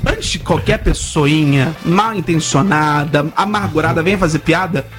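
A Brazilian man in his thirties hosting a lively radio show with playful, theatrical announcing. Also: fairly high pitch, normal speed. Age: 30s. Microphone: studio broadcast mic. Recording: music playing under the voice.

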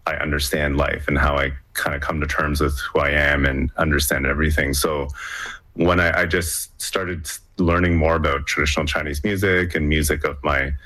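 A man's voice: rumbling low, little pitch movement, normal speed, bright, forceful tone. A young man from Hong Kong, a musician, talking casually in an interview with many fillers and restarts. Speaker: musician guest.

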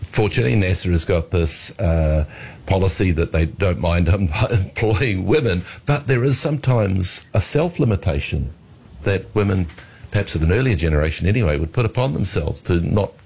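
A man interviewing a guest, asking measured questions with a wry edge. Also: sits low, lively intonation, creaky, a little mellow, husky.

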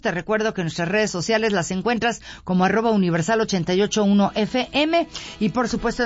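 A woman with a Mexican Spanish accent presenting music radio in a conversational, reflective and expressive manner. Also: medium pitch. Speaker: radio host. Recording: dull lo-fi radio sound, music behind. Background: background music.

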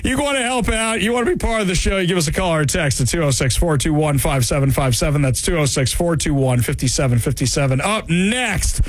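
A male talk radio host with a lively, swooping delivery and a somewhat high voice. He talks casually and wryly into a studio mic. The sound is dry and close. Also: quick pace, hardly any pauses, dry recording. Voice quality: high-pitched laugh. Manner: animated, chatty, wry asides. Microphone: studio microphone.

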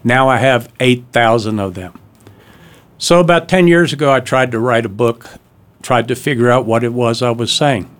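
An older man answers a radio interview from a studio in a middle-pitched voice. He talks like a storyteller, with some pauses and hesitations. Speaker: author and guest.

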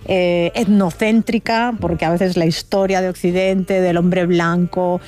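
A woman with an accent from Spain presenting a conversational radio interview in an effusive, expressive way. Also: fairly low pitch, a little slow, faint music behind. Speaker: radio show host.

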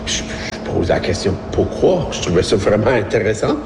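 A man in his fifties speaks informally to a group with an Acadian French accent. He is reflective and halting, rephrasing as he goes. His voice sits a little low, in a long hall reverb with faint noise. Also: very animated, gravelly. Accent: Acadian French of Prince Edward Island. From Evangeline region, Prince Edward Island, Canada. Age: 50s. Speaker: school board employee, former principal. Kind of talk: informal speech at a community discussion.

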